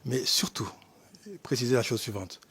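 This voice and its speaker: A man from Rwanda speaking as an ambassador at a hearing, answering off the cuff with emphatic repetition and halting restarts. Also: medium pitch, pushed and bright, husky. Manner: emphatic, halting, repeats himself. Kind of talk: spontaneous formal answers at a hearing.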